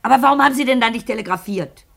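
A woman's voice: a little high, racing, creaky, fairly bright tone. A woman playing a stern, impatient boss with a sarcastic, questioning manner in a German radio drama. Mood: demanding, indignant. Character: stern, impatient businesswoman interrogating her employee.

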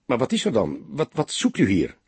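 A man's voice: medium pitch, huge pitch swings, normal speed, husky, no room sound, pitched above the performer's own voice, full character voice. A man voicing a surprised, suspicious young woman in her twenties in an audiobook, hesitant and stammering. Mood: suspicious, bewildered.

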